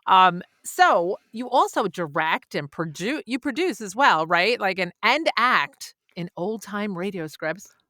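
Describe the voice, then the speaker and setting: A woman's voice: medium pitch, animated, a little slow, hardly any pauses, bright, forceful tone, no echo. A woman hosting a casual podcast interview, talking in an effusive, exclamatory way. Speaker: podcast host.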